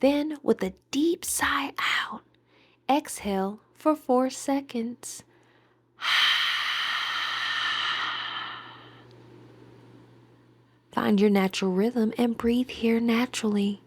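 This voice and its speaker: A woman guiding a meditation in a soothing, deliberate manner with gentle pauses. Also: on the high side, breathy edge. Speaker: meditation guide. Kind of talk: guided meditation narration.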